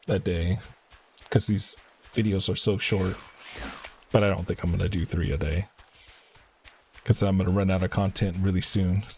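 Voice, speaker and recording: Low husky guy streaming a game, just chatting casually as he plays. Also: warm tone. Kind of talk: casual gameplay commentary.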